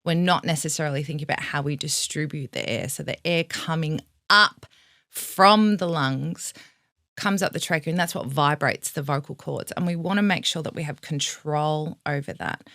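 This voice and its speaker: A woman's voice: deep voice, moderate pace, a bit bright, no room sound, clean studio sound. Frank, teacherly Australian woman coach. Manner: frank and teacherly, casual swearing. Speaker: vocal coach. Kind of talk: explaining vocal health in a casual interview.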